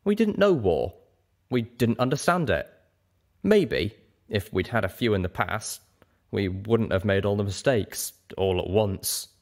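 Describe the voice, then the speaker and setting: Storybook dragon, performed by a man: huge, old, male, earnest and wistful, reflective delivery with wide-swinging pitch. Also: lively intonation, a little slow. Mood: passionate, earnest.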